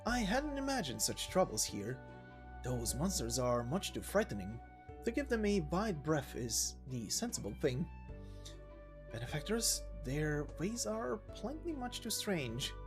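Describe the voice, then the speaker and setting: Man acting out a florid, courteous gentleman with lively intonation. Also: on the high side, measured pace, over music. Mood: fretful. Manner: formal archaic phrasing, theatrical, deferential. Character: polite, old-fashioned, florid gentleman traveler, fretful but genial. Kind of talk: video game story voice acting.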